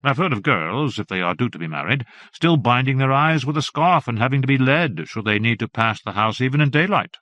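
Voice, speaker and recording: A man's voice: mid-range voice, expressive, fairly quick, few pauses, a bit bright, dry recording, close to an everyday voice. A man doing an eager gossiping old scholar. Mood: gossipy. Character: chatty old male town scholar, fond of gossip.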